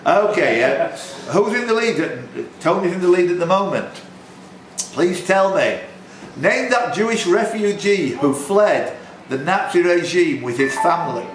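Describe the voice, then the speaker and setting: A man's voice: high voice, animated, a little slow. A man hosting a live quiz in a pub, reading out questions and bantering jokingly with the contestants. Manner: joking, chatty, teasing contestants. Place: indoors in a pub. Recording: roomy, noisy pub recording.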